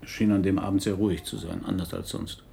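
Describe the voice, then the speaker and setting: An actor playing a wry, nonchalant man recounting things in an ironic, unhurried way in a radio crime drama. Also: deep voice, sing-song, creaky, warm tone, heavily acted. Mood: reflective, nonchalant. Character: wry, nonchalant man, a slightly wounded shooting victim.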